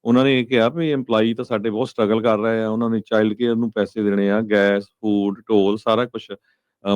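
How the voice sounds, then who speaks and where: Low, clean-voiced radio newsman. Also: smooth, no echo. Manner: mixes English words into speech. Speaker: radio news host. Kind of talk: conversational news reading.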